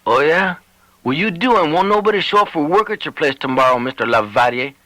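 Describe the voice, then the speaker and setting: A man's voice: on the high side, animated, a little fast, sharp tone, no echo, voice pushed up, low-quality recording. A man voicing a defiant small-time farmer in an audiobook, matter-of-fact and taunting. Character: defiant small-time male farmer making veiled threats.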